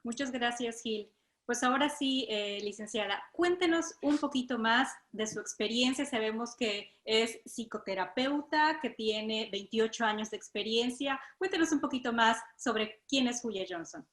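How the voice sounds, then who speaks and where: A woman's voice: a little high, moderate pace, a bit bright, reverberant. A woman hosting a faith-based radio interview, addressing her guest courteously and formally. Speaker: radio host.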